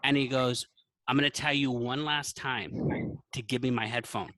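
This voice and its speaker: A man's voice: mid-range voice, steady tone, normal speed, bright, forceful tone, no echo. A man telling an anecdote in a casual podcast style, acting out the dialogue as he goes. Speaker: podcast guest, teacher. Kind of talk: casual storytelling.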